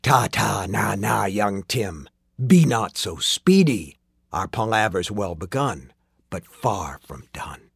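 A man's voice: lowish voice, lively intonation, slow, creaky, breathy edge, no room sound, clean studio sound, pitched below the performer's own voice, full character voice. A man voicing a sinister, mockingly courteous sorcerer in an audiobook story, with archaic, teasing speech that drops into whispers. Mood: mocking, sly.

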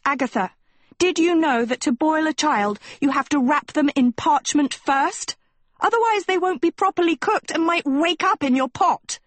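A woman's voice: sits high, lively intonation, normal speed, no echo, voice pushed up. A woman voicing a dramatic, indignant and pleading teenage girl in a storybook, with theatrical emphasis. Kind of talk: acted storybook dialogue.

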